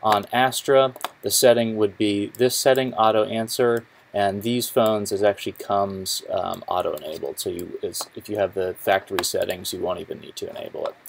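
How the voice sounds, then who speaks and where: A young man presenting a casual tech tutorial in an informal, halting way, often correcting himself. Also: lowish voice, fairly even intonation, vocal fry, slight background noise. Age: young adult. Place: small office cubicle indoors, then screen recording voice-over.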